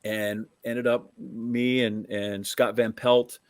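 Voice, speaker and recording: A man's voice: medium pitch, little pitch movement, no room sound. American man chatting sports, dry close sound. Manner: conversational, halting, self-interrupting storytelling. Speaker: sports show co-host. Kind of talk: casual sports chat.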